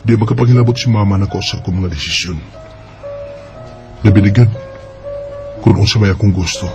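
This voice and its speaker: A man's voice: on the low side, brisk, gravelly, music in the background. A man playing an earnest, lovestruck young son in a Bisaya radio drama, with a Filipino accent. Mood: earnest, determined. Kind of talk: amateur radio drama acting.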